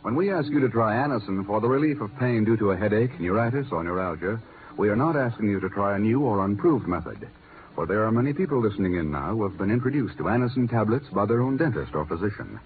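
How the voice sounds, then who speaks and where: A man announcing on a 1950s radio program, reading a script in a polished, emphatic, deliberate way. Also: fairly low pitch, expressive, a little hiss or hum. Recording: muffled 1950s radio broadcast recording.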